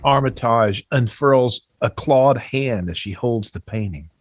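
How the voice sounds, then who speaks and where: A man with a mid-pitched voice narrates horror stage directions. The sound is dry and close, with no room around the voice. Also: unhurried, dry recording. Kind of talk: scripted narration of a horror screenplay.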